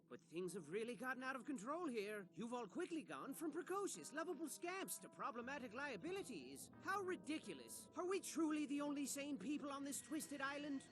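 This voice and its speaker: A man playing an excitable, wisecracking storyteller in a video game, narrating in a theatrical, mock-grandiose way that slips into flippant slang. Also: extremely high pitch, exaggerated voice.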